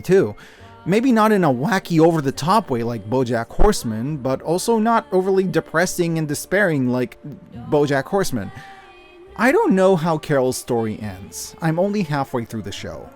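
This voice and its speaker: A man's voice: on the high side, a little mellow. A man narrating a scripted review with casual asides, speaking in an earnest, opinionated and emphatic way. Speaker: video essayist and media reviewer. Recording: music behind the voice. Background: music.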